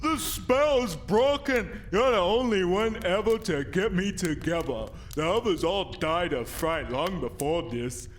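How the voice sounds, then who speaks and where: Bossy enchanted giant, raised pitch, slow booming commands, radio play, faint noise. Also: male voice, lively intonation. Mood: commanding. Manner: loud, drawn-out commands. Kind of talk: storybook radio play acting.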